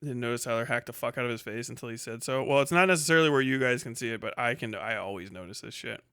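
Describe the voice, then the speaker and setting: A man's voice: medium pitch, fast talker, bright, forceful tone, clear, resonant tone, no room sound, clean studio sound. A man hosting a casual livestream, reading viewer comments and riffing in a profane, sardonic way. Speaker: livestream host.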